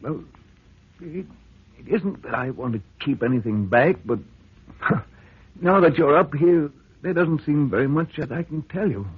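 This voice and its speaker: A man's voice: fairly high pitch, expressive, measured pace, pauses often, slight background noise. An actor playing a troubled, evasive but polite man in an old-time radio drama, speaking hesitantly and anxiously. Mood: uneasy, evasive. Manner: hesitant, anxious, throat-clearing. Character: troubled, evasive lake-cabin owner, polite male friend. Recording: muffled, tinny old radio recording.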